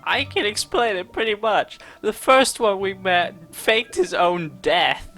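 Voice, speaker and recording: A man's voice: high voice, a little slow, sharp tone. A man giving casual, amused and teasing reaction commentary as he hosts a gaming video. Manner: amused, teasing, laughs often. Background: game music.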